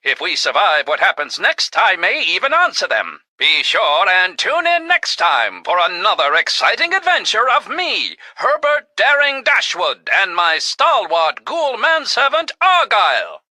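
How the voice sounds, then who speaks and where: A man playing a dashing, boastful gentleman adventurer, theatrical and gallant, in an old radio serial. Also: sits high, huge pitch swings, measured pace, few pauses, no room sound, exaggerated voice. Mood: grand, boastful.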